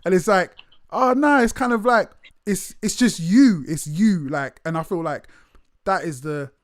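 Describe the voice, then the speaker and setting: Interviewer, late-thirties man, loose and animated, voice sitting a touch high. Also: measured pace, no echo, studio quality. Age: late 30s. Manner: animated, repeats words, lots of slang fillers. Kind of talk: casual interview chat.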